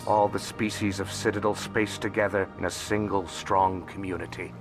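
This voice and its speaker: A shrewd alien dealer in secrets from a sci-fi game, acted by a man, with a deep voice. He speaks formally and carefully, calm and worldly, with music playing behind him. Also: moderate pace, close to an everyday voice. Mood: admiring, polished. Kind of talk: video game dialogue acting.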